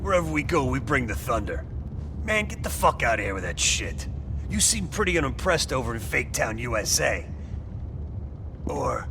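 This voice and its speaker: An actor playing a brash, foul-mouthed, cocky covert operative in a video game, with a gravelly voice and a swaggering, teasing way of talking. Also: male voice, medium pitch, sing-song, moderate pace, noisy background. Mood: mocking, boastful. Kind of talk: video game character dialogue.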